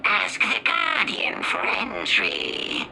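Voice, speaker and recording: A man voicing a calm, cryptic alien villager in a video game, telling old tales in a measured, solemn way. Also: high voice, gravelly, noisy background, full character voice. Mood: solemn, mysterious. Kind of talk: video game character narration.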